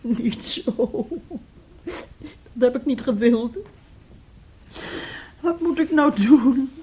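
An actress in a radio drama playing a distraught, tearful mother abandoned by her daughter, speaking haltingly and repeating her words. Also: a little high, dark tone, husky, dull audio, exaggerated voice. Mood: hurt, tearful.

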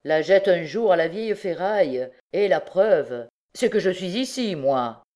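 A woman voicing a callous male ex-convict, a rough street villain who talks in a scornful, slangy, offhand way. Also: on the low side, lively intonation, measured pace, darkish tone.

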